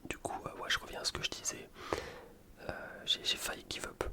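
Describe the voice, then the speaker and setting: A man speaks entirely in a whisper, as in an ASMR gaming video. He comments on gameplay in casual slang, rambling from one explanation to the next. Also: fairly quick.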